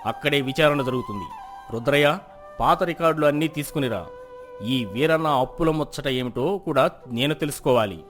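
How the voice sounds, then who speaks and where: A man playing a stern, just village landlord who judges in a measured, commanding, formal way in a folk tale. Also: on the high side, fairly bright tone, natural voice. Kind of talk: dramatized Telugu folk moral tale. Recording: music behind the voice.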